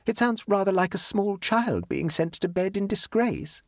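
A man's voice: high voice, expressive, normal speed, no echo, clean studio sound, voice pushed up. A man voicing a touchy, self-pitying young society woman in a storybook, with a plaintive, genteel and defensive manner. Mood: wounded, touchy. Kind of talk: audiobook character dialogue.

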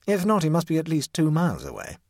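A man's voice: fairly high pitch, huge pitch swings, fast talker, darkish tone, no echo, clean studio sound, voice pushed up. A man voicing an idle, flippant young house guest in a storybook, lazily and drily mocking. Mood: dry interest.

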